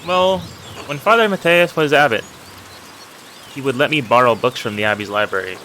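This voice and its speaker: A friendly, humble miller from a historical video game, acted out by a man. He talks in a folksy, storytelling way with a bright, forward voice. A little noise sits behind him. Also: medium pitch, normal speed, natural voice. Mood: eager storytelling. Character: friendly, humble village miller who loves old legends. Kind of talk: reading video game dialogue aloud.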